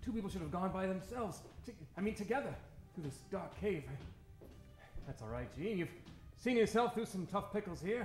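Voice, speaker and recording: Male actor as hapless adventurer, very high pitched, melodramatic self-narrator for comic radio serial; echoey stage sound. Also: expressive, normal speed, soft background music. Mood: rueful. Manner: melodramatic, talks himself through his actions. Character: hapless, panicky, self-dramatizing male explorer. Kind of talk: comic old-time radio adventure acting.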